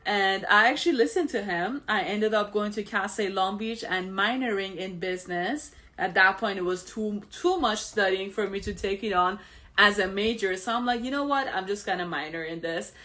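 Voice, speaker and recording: A woman's voice: mid-range voice, moderate pace, pushed and bright. Woman host, 30s, Iranian accent, candid personal monologue. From Iran. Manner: candid, with frequent fillers and restarts.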